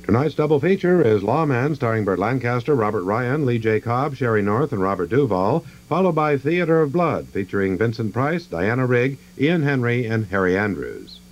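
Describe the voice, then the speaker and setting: A man's voice: mid-range voice, big pitch swings, normal speed, few pauses. A man reading a scripted TV movie promo as a station announcer. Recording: muffled old TV broadcast tape.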